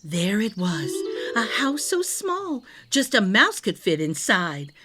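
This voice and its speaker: A woman reading a rhyming picture book aloud to young children, expressively, with deliberate dramatic phrasing. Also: mid-range voice, big pitch swings, over music. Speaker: storytime reader.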